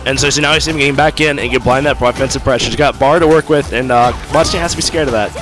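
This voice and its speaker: A man with a rough, hoarse voice from being sick, commentating a fighting game tournament and breaking down combos. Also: medium pitch, over music. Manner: fast, analytical breakdowns of combos. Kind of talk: casual esports commentary.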